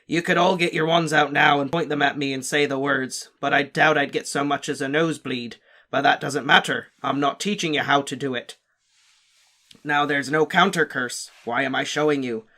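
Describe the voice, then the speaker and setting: A gruff, battle-hardened old wizard teacher, as a male narrator puts him on, growling his warnings loud and hard. He hammers every point home with a forceful, emphatic bark. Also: a little high, steady tone, moderate pace. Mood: stern, forceful. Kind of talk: audiobook character voice.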